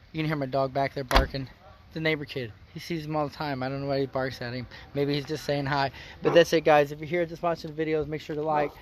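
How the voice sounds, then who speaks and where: A man hosting a car vlog outdoors, explaining his install in a plain-spoken, folksy way, his voice a bit hoarse. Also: a little high, a little hiss or hum. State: slightly hoarse. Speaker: car customizing channel host. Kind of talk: casual how-to vlog.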